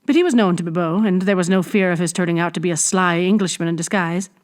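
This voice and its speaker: A woman reading a classic adventure novel aloud as an expressive storybook narrator. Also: fairly low pitch, fast talker. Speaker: audiobook reader.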